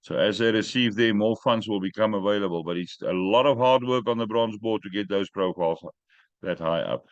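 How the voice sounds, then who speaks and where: A man hosting a group call in English, which is not his first language, talking in a direct, plain-spoken and sometimes halting way. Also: lowish voice, no echo. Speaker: host. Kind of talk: casual group call chat. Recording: dry muffled call audio.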